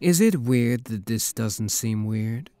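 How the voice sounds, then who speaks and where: A man's voice: mid-range voice, lively intonation, slow, warm tone, clean tone, no room sound. A man acting a suave, teasing demon prince in a romantic roleplay audio drama, with a courtly, flirtatious drawl and playful mock menace. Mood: wry, fond.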